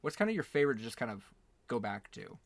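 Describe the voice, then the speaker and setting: A man's voice: mid-range voice, big pitch swings, quick pace, gravelly, dry recording. A man hosting a casual interview, asking questions in an informal, rambling way. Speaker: interview host.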